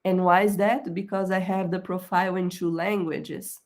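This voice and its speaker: Woman coach with a slightly low voice. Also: flat delivery, moderate pace. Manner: explanatory, checks in with "okay?", non-native phrasing. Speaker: career coach. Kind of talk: screen-share tutorial on a professional profile.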